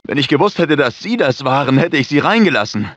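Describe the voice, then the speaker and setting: Anxious scientist in dull lo-fi audio. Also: male voice, a little high, very animated, fairly quick, fairly bright tone, no echo. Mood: worried. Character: anxious male research scientist in a sci-fi disaster. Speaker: video game voice actor. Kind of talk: dramatic game character dialogue, German dub.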